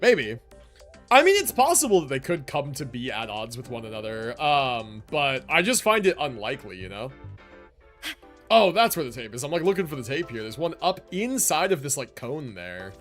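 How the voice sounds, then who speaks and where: Animated young male streamer, slangy and casual. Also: medium pitch, normal speed. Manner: laid-back, slangy. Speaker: game livestreamer. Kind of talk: casual livestream commentary. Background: game music.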